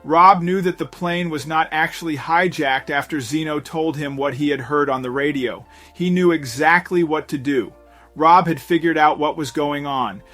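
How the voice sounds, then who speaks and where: A man with a slightly high voice reads a scripted story as a dramatic narrator. His delivery is measured and builds suspense, with faint music playing underneath. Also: fairly bright tone. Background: background music.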